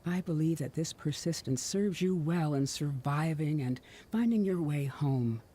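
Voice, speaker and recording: A woman playing a loving, dying parent who reads a farewell letter softly and solemnly in video game dialogue. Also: low-pitched, a little slow. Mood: tender, hopeful. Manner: soft, breathy, tender, solemn.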